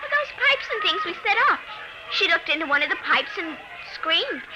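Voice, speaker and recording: A woman's voice: very high-pitched, very animated, moderate pace, music in the background, rough recording. An actress playing an eager, evasive, willful little girl in an old-time American radio drama, breezy and secretive. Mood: evasive, matter-of-fact.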